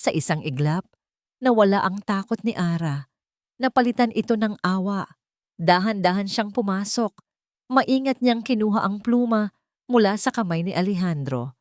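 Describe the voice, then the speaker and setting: A woman with a Filipino accent narrating a romance story in deliberate, dramatic short phrases with pauses. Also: mid-range voice, lively intonation, darkish tone, no echo, studio quality.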